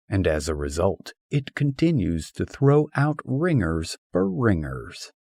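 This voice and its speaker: Audiobook narrator: man with a fairly deep, very varied delivery, wry and expressive on humorous philosophical prose. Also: dry recording, clean studio sound.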